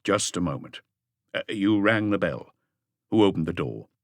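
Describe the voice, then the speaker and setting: A man voicing a senior police chief in an audiobook, asking short, deliberate, probing questions. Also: sits low, lively intonation, dry recording, voice pushed down.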